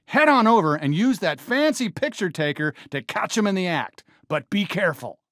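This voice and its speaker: Animated, folksy American cartoon cowboy sheriff. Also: male voice, sits high, moderate pace, no room sound, studio quality. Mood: earnest, friendly. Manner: folksy, exclamatory, cowboy drawl and slang. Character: friendly, earnest, excitable cartoon cowboy sheriff. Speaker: voice actor in a video game. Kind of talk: animated game character voice lines.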